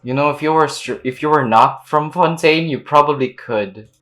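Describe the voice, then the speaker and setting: A woman's voice: low voice, moderate pace, a bit bright. A woman giving casual gameplay reaction commentary, blunt and slangy, as a gaming video creator.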